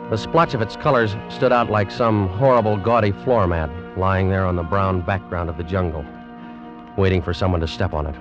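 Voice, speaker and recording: Guy playing a determined explorer, really low voice, dramatic narrating, music behind, muffled old radio. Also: close to an everyday voice. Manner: brisk, dramatic first-person narration. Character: determined male animal collector narrating. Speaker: narrator and lead. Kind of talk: 1940s radio acting.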